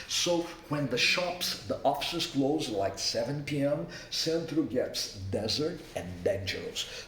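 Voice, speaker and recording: A man from Rio de Janeiro with a Brazilian accent, a native Portuguese speaker, informally briefing guests in an emphatic, didactic way with short repeated statements. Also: fairly high pitch. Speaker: accommodation host giving a city orientation. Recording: roomy, distant mic, faint background noise.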